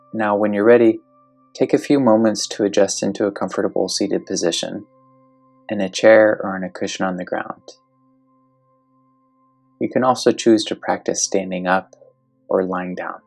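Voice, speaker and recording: A meditation guide speaking slowly and gently, giving calm step-by-step instructions in a guided meditation. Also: sits low, vocal fry, husky.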